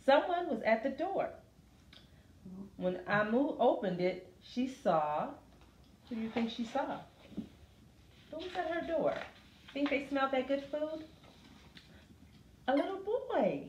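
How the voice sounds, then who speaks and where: A woman reading a children's story aloud expressively, pausing to put questions to her listeners. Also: high-pitched, sing-song, darkish tone, lo-fi sound, slight background noise. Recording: roomy, echoey sound.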